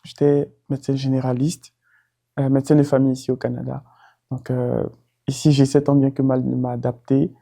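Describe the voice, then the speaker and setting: Man, from Côte d'Ivoire, very dark mellow tone, hesitant, restarts often, personal testimony. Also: mid-range voice, little pitch movement, normal speed, vocal fry. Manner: hesitant, searching for words, frequent restarts. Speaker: student and former doctor.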